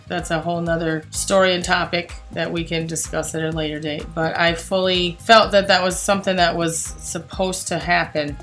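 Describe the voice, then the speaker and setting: A woman in her forties with an American accent tells personal stories in a casual, conversational way. She is candid and pokes fun at herself, speaking at a medium pitch with faint music behind her. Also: pushed and bright. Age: 40s. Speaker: co-host telling personal stories.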